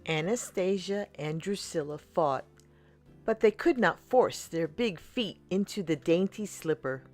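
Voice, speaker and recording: A woman reading a storybook aloud for children in an expressive way, with dramatic pauses and emphasis. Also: lowish voice, soft background music. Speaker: children's storybook reader and channel host.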